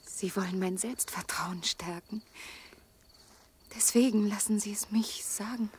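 A woman plays a wistful, vulnerable young woman in a radio play. She confides softly and breathily at a middling pitch, with faint insects behind her. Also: measured pace, slight background noise, close to an everyday voice. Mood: tender, intimate. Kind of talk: German radio drama acting. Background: crickets.

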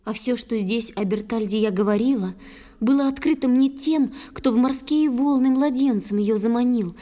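A woman playing an earnest, innocent young water nymph in a verse audio play, with soft, pleading delivery. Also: on the high side, normal speed, natural voice. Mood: hurt, firm. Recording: long hall-like reverb.

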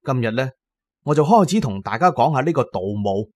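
A man with a Cantonese accent narrating a scripted story in a measured, dramatic, suspenseful storyteller's delivery. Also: medium pitch, expressive, darkish tone, no room sound, clean studio sound. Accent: Hong Kong or Guangdong Cantonese. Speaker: audiobook narrator.

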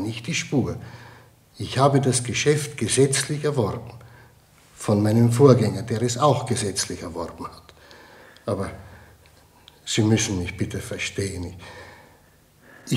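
An actor playing an evasive, self-justifying shopkeeper with a hesitant, defensive manner in a German radio play. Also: male voice, fairly low pitch, expressive, measured pace, pauses often. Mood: flustered, defensive.

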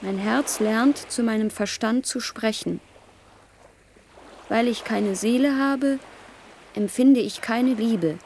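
An actress playing a mournful, defiant virtual daughter in a science-fiction radio play, speaking in a deliberate, trance-like way. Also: female voice, on the high side, darkish tone, slight background noise. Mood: quietly defiant. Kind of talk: German radio play acting.

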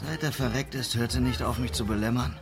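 Man acting as cynical sleazy pimp, mid-pitched voice, crude, scoffing, defensive, noir radio play, music underneath. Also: steady tone, fast, natural voice. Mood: scornful, irritated. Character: sleazy foul-mouthed male pimp, cynical and dismissive.

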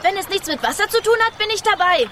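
A woman's voice: very high-pitched, animated, quick pace, noisy background. A woman playing a stubborn, cheeky female mouse who is a reluctant adventurer in a children's radio play. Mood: wary. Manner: sassy, contrary.

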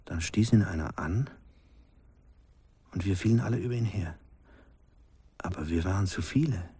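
Grim villager, very husky breathy voice, slow deliberate storytelling, brooding, radio drama. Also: male voice, sits low, full character voice. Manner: deliberate, hushed, with pauses.

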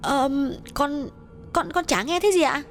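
A man's voice: very high-pitched, measured pace, a bit bright, soft background music, voice pushed up, full character voice. A man voicing a timid young woman who stammers her replies. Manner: hesitant, stammering.

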